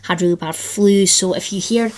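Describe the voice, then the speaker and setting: Chatty woman, slightly croaky. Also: medium pitch, normal speed. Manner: chatty, enthusiastic, lots of fillers. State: slightly croaky after flu. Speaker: book vlogger. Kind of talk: casual chat to camera.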